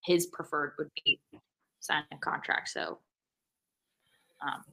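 A woman's voice: sits low, steady tone, a little slow, creaky, sharp tone, poor audio. An American woman co-hosting a casual sports podcast, with a wry, self-deprecating way of talking. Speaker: podcast co-host.

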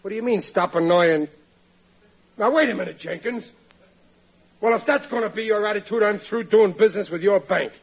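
A man's voice: sits high, moderate pace, pauses often. An American man in middle age acting a blustering, gullible working-class riveter in a classic radio sitcom, exclaiming in ungrammatical speech. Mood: indignant. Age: middle-aged. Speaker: comic lead actor. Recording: muffled vintage radio recording.

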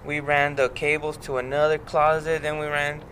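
A man's voice: monotone, normal speed, fairly bright tone. A man in a band being interviewed, explaining things casually and bluntly, with some swearing. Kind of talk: casual chat. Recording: noisy outdoor recording with vehicle rumble.

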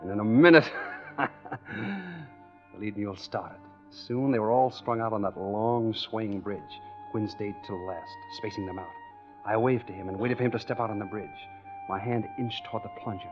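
A man's voice: lowish voice, steady tone, moderate pace, close to an everyday voice. An actor playing a cocky, scheming American tough guy in a hard-boiled, slangy 1940s radio thriller. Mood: coldly scornful. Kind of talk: 1940s radio thriller acting and first-person narration. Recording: dull 1940s radio recording with music.

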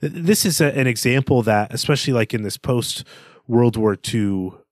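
Podcast guy breaking down books, studious explainer type, average pitch, dry close audio. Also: expressive, moderate pace, no echo. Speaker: podcast co-host. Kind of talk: conversational literary analysis.

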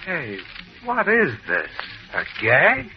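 A joking private detective. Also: male voice, high-pitched, wildly swooping pitch, slow pace, music in the background, heavily acted. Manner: jokey, disbelieving. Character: easygoing male private detective, good-humored. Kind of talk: 1940s radio acting. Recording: dull lo-fi old radio recording.

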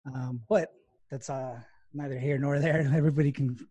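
A man from West Texas hosts a casual interview. His voice is mellow and a bit husky, with a dry, close sound. He rambles easily with plenty of fillers. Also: mid-range voice, fairly even intonation, normal speed, no echo. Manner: loose, filler-heavy storytelling. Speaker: podcast host.

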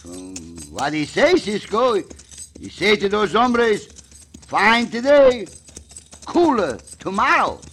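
High-voiced comic Mexican cowboy sidekick. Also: male voice, wildly swooping pitch, fairly bright tone, heavily acted. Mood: comic puzzlement. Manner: broken English, slow comic puzzlement. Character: comic, simple-minded Mexican cowboy sidekick, jolly man. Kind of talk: 1940s-50s radio western acting. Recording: noisy old radio recording.

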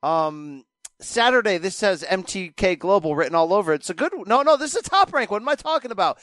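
A man's voice: sits high, very animated, a little fast, fairly bright tone, dry recording. A man hosting a boxing podcast, rattling off the weekend's fight listings with wry, joking asides. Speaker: podcast host. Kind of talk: casual chat.

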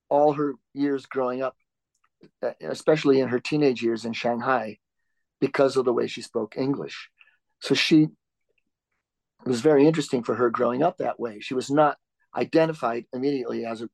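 A man who is the author and interview guest, answering questions in a conversational, halting way with frequent restarts and filler words. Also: mid-range voice, creaky, a little mellow, no echo.

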